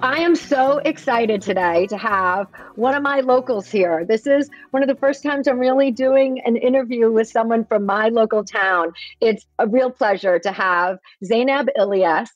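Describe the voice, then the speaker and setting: A woman's voice: a little high, moderate pace, few pauses, lo-fi sound, soft background music. A woman hosting a business podcast, speaking with enthusiasm and lots of "you know" as she introduces and interviews a guest. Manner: upbeat, enthusiastic, uses fillers like "you know". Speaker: podcast host. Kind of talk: scripted intro then casual interview.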